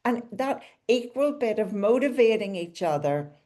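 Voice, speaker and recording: Mellow-voiced woman hosting an interview. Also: a little high, big pitch swings, normal speed. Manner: affirming, chatty with long remarks. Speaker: podcast host.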